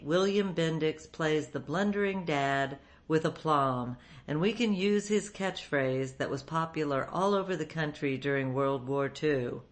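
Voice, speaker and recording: A woman radio host reading a scripted introduction. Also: low-pitched.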